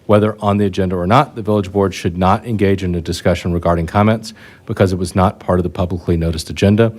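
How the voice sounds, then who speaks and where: A man chairing a village board meeting, speaking in a relaxed, plain way and reading procedural rules aloud. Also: low-pitched, fast talker.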